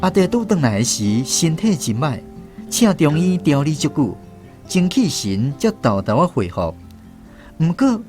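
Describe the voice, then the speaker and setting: Expressive Taiwanese male storyteller, highish voice. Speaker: radio drama narrator. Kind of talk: scripted storytelling narration.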